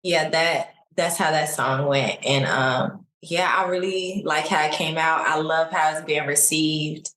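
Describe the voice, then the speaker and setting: A young adult woman from Chicago, a singer, talking in a relaxed, laid-back way in a casual interview. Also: deep voice, unhurried, few pauses, a little hiss or hum. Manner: relaxed, laid-back, many likes and you knows. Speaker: singer guest. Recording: echoey room.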